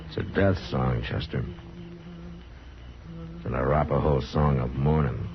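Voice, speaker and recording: Steady, commanding frontier lawman, very deep and terse, muffled vintage radio western sound. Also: a little slow. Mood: grave, commanding. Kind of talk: old-time radio western acting. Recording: noisy, muffled old radio recording.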